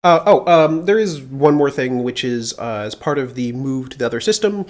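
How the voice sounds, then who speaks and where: Slightly congested man thinking aloud. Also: mid-range voice. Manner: thinking aloud, relaxed, many filler pauses. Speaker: livestreamer doing security research. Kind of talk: casual live coding stream chat. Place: home desk with mechanical keyboard. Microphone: mounted desk studio mic.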